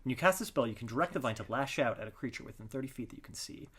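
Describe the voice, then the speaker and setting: Bright-voiced man with lively pitch. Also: racing, husky. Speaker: player in a tabletop role-playing game. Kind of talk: casual game-table chat.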